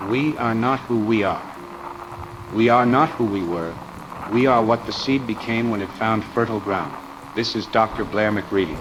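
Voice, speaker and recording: A man playing an alien copy of a polar scientist, recording a detached, measured, fatalistic log in an audio drama. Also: low-pitched, background noise, natural voice. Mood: cold, menacing.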